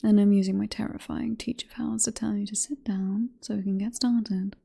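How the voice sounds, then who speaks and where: Vampire professor for ASMR roleplay, played by a woman: British, female, hushed breathy whisper, deeply mellow tone, possessive, teasing and coaxing. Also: steady tone. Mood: persuasive, soothing. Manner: coaxing, teasing, unhurried murmur. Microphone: close ASMR microphone.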